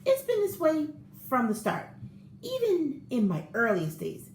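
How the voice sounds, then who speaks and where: A woman voicing an earnest, eager-to-please good egg in an expressive, theatrical read-aloud of a children's picture book. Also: sits high, a little slow, exaggerated voice. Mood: cheerful, proud. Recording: roomy echo with faint background noise.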